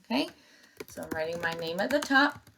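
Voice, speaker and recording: A woman teacher reading aloud and giving step-by-step instructions to young pupils in an expressive, emphatic way. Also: lowish voice, brisk, gravelly, a little hiss or hum.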